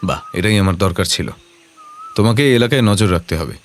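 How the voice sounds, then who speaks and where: An actor playing a self-assured detective in an audio drama, measured and confident, kindly but commanding. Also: male voice, deep voice, expressive, a little hiss or hum.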